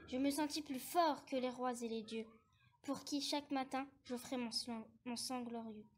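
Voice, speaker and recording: A woman performing a bitter, ambitious male war minister in dramatic, declamatory theatrical verse, with measured phrasing. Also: sits high, steady tone, a little hiss or hum. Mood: lovestruck. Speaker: actor reading a verse monologue.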